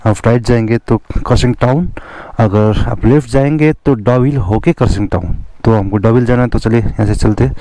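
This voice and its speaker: A man's voice: mid-range voice, a little fast, mellow, poor audio. A young man chatting to viewers as he rides a motorbike on a rainy hill road. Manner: chatty, addresses viewers as guys. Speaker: motovlogger. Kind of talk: casual travel vlog narration while riding. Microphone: helmet or bike-mounted action camera. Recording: outdoor ride with engine and road noise. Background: motorcycle engine and rain.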